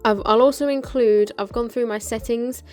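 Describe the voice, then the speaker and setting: A woman's voice: fairly high pitch, fairly even intonation, moderate pace, darkish tone. British woman vlogger, chatty, over music. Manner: chatty, informal, practical. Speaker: motorcycle vlogger presenting. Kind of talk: casual explainer of a gear setup.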